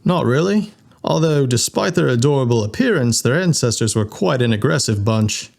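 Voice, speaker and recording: A man's voice: medium pitch, expressive, normal speed, vocal fry, darkish tone. A man voicing a friendly, polite ship's computer with an Australian accent in a sci-fi audio story.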